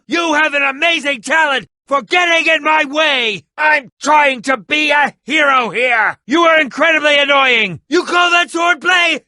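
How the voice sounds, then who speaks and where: An actor playing a haughty, theatrical, hot-tempered schemer in a video game, with sneering, melodramatic delivery and grandiose phrasing. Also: male voice, very high-pitched, sharp tone, no room sound, clean studio sound, full character voice. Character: pompous, theatrical, hot-tempered scheming potion-brewing man, bitter rival. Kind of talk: animated video game voice acting.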